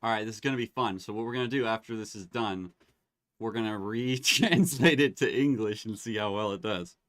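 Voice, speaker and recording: Man, host, casual demo chat, excitable, lowish voice, dry sound. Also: fairly quick, no echo. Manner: excitable, laughs and exclaims while testing tools.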